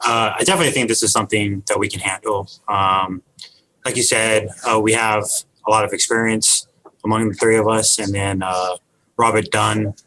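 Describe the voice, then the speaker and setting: A man on a student team giving a measured, confident interview answer with some uhs. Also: fairly low pitch, fairly even intonation, bright, forceful tone, poor audio.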